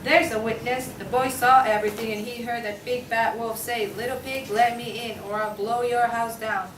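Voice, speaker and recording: A stubborn, indignant pig on a courtroom jury acted by a young woman at a play reading argues hotly in a fairly high voice. The sound is distant and roomy, with clear noise behind. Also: steady tone, normal speed, lightly acted. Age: young adult. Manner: argumentative, indignant. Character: stubborn, hot-tempered juror pig, sure of the wolf's guilt. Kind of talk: student table read of a comic courtroom play. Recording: distant, echoey room with clear background noise.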